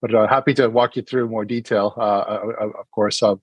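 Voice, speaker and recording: A man's voice: fairly low pitch, fairly even intonation, a little fast, breathy edge, dry recording, highs cut off. A middle-aged man from New Hampshire, speaking as an interview guest in a casual, hesitant way with many fillers and restarts. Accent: partly British-sounding American. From New Hampshire, USA.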